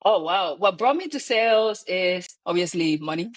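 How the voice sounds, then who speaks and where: A woman from the Dominican Republic with a Dominican accent, a guest telling stories in a relaxed interview and laughing often. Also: lowish voice, normal speed. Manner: animated storyteller, laughs often, acts out quoted people. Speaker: guest, real estate founder. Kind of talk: conversational interview.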